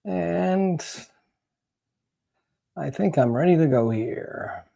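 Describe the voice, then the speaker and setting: A Canadian man hosting a casual livestream, chatting to viewers in a rambling, halting way as he thinks aloud, with a gravelly, husky voice. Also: a little high, expressive, warm tone. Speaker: livestream host, genealogist.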